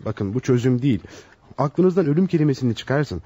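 Fast-talking, husky, courteous doctor. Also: male voice, medium pitch, expressive, warm tone, no echo. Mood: reassuring. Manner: courteous, soothing, formal. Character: composed, reassuring male doctor, courteous with patients. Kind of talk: old radio theater acting. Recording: dull, lo-fi old radio drama.

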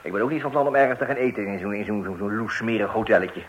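A man's voice: lowish voice, very fast, close to an everyday voice, rough recording. A man playing a pompous rambling husband. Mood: grandiose. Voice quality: pompous, rambling, fumbles for words. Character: grandiose middle-class husband Roderick, middle-aged. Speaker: husband and narrator. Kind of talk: radio play acting. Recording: old radio recording with hiss.

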